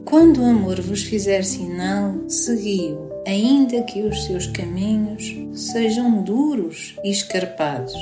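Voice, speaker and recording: A woman reciting in a measured, deliberate, declamatory style, like performed poetry and monologue. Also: medium pitch, over music, close to an everyday voice. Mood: solemn. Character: gentle reciter of poems and feelings saleswoman.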